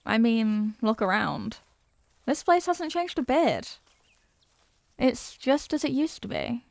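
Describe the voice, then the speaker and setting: Woman acting a sweet, wistful childhood friend, higher-pitched, close-up. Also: sing-song, slow pace, gravelly, no room sound, exaggerated voice. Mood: wistful, musing. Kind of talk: scripted ASMR roleplay spoken to the listener.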